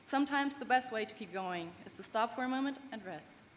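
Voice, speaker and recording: A woman's voice: on the high side, expressive, fairly quick. A woman from Germany in her twenties, a non-native English speaker, giving an earnest, heartfelt student speech at a graduation ceremony. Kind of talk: prepared commencement speech. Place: large event hall. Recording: long hall reverb, faint background noise.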